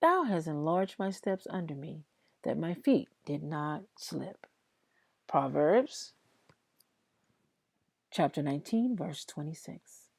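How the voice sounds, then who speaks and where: A woman reading Bible passages aloud in a devotional style, with deliberate, emphatic phrasing. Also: sits low, big pitch swings, gravelly, husky, dry recording. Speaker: host reading scripture aloud. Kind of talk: slow devotional Bible reading aloud, with informal asides to listeners.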